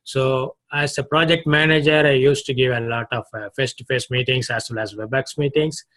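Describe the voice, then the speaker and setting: A man's voice: mid-range voice, fairly even intonation, fairly bright tone. Indian man speaking over a video call. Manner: halting, restarts phrases. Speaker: club guest. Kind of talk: short impromptu speech.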